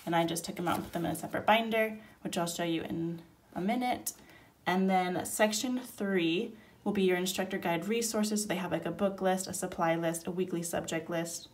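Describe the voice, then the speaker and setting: A woman with a cold who sounds nasal, walking through a homeschool curriculum in a relaxed, chatty way at a table. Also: lowish voice, fairly quick. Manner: relaxed, chatty, explaining step by step. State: sick, nasal. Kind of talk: casual product flip-through. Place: indoors at a table, hands-only overhead camera.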